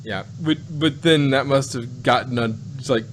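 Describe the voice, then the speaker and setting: A man co-hosting a casual talk show, speaking in a halting, rambling way. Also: mid-range voice. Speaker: podcast co-host. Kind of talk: casual chat. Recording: clearly noisy, steady hum.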